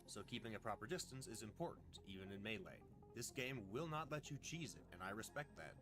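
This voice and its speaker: A man's voice: lowish voice, animated, a little fast. Man narrating a scripted game review. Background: music.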